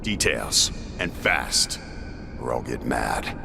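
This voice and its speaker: An actor playing a gruff, brooding, short-tempered male warrior in a video game cutscene, gravelly and terse. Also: extremely low pitch, lively intonation, slow, noisy background, exaggerated voice. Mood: curt, threatening. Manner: terse, blunt.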